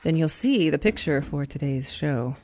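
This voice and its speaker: Low-voiced, playful woman chatting on radio. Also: moderate pace. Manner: expressive, playful. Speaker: radio show host. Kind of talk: casual on-air chat.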